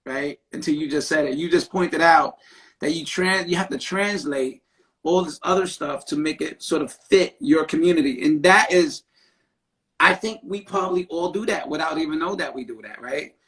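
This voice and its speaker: Animated higher-voiced man on a live stream. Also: normal speed. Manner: animated, emphatic, frequent "right?" tags. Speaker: podcast host; therapist. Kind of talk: casual conversation on a live stream.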